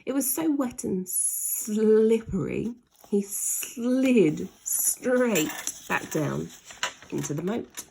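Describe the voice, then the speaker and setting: A woman's voice: mid-range voice, vocal fry, warm tone, slight background noise, rough recording. A woman reading a children's story aloud expressively, stretching key words for dramatic emphasis.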